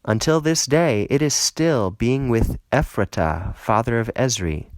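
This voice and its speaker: A man narrating scripture from a script in a solemn, deliberate and dramatic manner. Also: mid-range voice, lively intonation, no room sound. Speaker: Bible reader.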